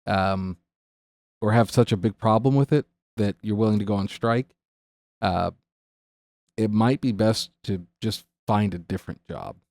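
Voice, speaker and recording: A man's voice: on the low side, a little slow, vocal fry, pauses often, darkish tone, dry recording, studio quality. An American man co-hosting a podcast, discussing casually and piecing his opinions together hesitantly in short phrases. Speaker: podcast co-host.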